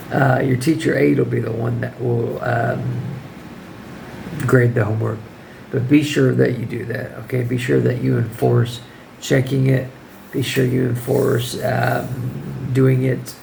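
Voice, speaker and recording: A man who teaches English gives casual, firm classroom advice in a hoarse voice that keeps giving out, often checking in with the listener. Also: mid-range voice, flat delivery, unhurried, a little mellow, slight background noise, poor audio. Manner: informal, firm, checks in with "okay?". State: hoarse, losing his voice.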